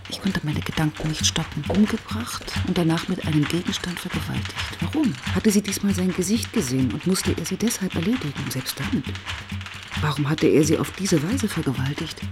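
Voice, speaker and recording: An actress playing a pensive, dogged police inspector in a German radio crime drama, reflective and often hushed. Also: female voice, fairly low pitch, animated, a little fast, music in the background, natural voice. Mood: brooding, intent. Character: pensive, dogged female police inspector, narrating her investigation.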